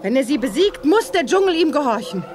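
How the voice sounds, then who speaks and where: An actress playing a confident young heroine in a sci-fi radio drama, speaking in a crisp, no-nonsense way. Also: female voice, sits high, sing-song, brisk, over music. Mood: brisk, determined. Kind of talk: 1980s German radio drama acting.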